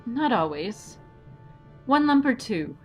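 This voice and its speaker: A woman's voice: on the high side, very animated, slow pace, soft background music, full character voice. A woman playing a courteous, unruffled swashbuckling heroine with a wry, philosophical manner in an audio drama. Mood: politely unruffled. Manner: polite, unruffled, wryly philosophical. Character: composed, courteous, witty female masked swashbuckling heroine.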